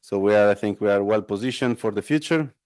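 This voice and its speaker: A low-voiced man in his thirties with an Italian accent gives an academic lecture in a smooth, clear tone. He keeps it conversational, checking in with little "no?" tags, and the sound is dry and close. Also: quick pace, darkish tone, no echo. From northern Italy. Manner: conversational, frequent fillers and tag "no?". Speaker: invited lecturer. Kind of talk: academic lecture with casual asides. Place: lecture room at a workshop.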